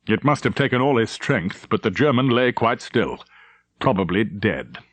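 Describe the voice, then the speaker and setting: A man's voice: on the low side, lively intonation, normal speed, fairly bright tone. A man narrating a novel as a scripted, dramatic audiobook reading.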